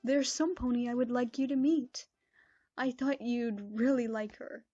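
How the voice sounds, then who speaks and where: A calm, regal pony princess from a fan cartoon audio drama, voiced by a woman, high-pitched yet mellow. She speaks gently and reassuringly. The recording sounds slightly dull and lo-fi, with faint music underneath. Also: measured pace, breathy edge.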